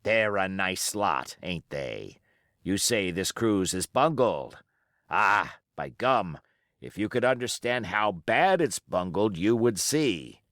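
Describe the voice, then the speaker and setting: A man acts out a storybook pirate chief, scornful and cunning. His low voice rises and falls dramatically as he taunts his crew with pointed questions. The delivery is deliberate and emphatic. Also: sing-song, fairly bright tone, dry recording, studio quality, exaggerated voice. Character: Long John Silver, scornful cunning male pirate ringleader.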